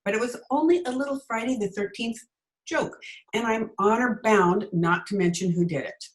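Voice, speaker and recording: A woman playing a quick-witted schoolteacher in an old-time radio comedy, with a dry, wisecracking delivery. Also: fairly high pitch, a little hiss or hum, natural voice. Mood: pleading. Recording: remote home recording over video call.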